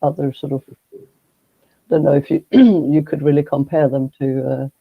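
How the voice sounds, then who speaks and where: A man, a guest speaking in a halting, measured way with frequent restarts. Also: fairly high pitch, fairly even intonation, vocal fry, slightly rough, no room sound, narrow, tinny audio. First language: Swedish. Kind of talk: casual conversation.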